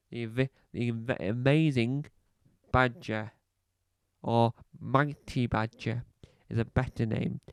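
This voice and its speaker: Man plays a pompous podcaster with a cough, halting and repetitive, dry close mic. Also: medium pitch, gravelly, smooth, no room sound. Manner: rambling, halting, fond of repeating phrases. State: slight cough. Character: self-important male celebrity podcaster, rambling. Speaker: comedy podcast host character. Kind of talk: scripted comedy monologue.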